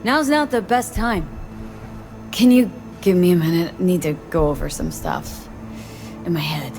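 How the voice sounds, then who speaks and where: A streetwise young woman mercenary for a video game. Her voice sits on the low side, her delivery is clipped, guarded and dryly wry. Music plays quietly behind her. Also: measured pace. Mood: curt, probing. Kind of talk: naturalistic video game acting.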